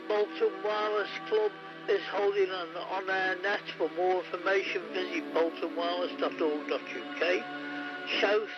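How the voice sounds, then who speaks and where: Brief: male bulletin reader, high voice, narrow radio sound with music clearly underneath. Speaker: amateur radio news reader. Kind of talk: scripted news bulletin.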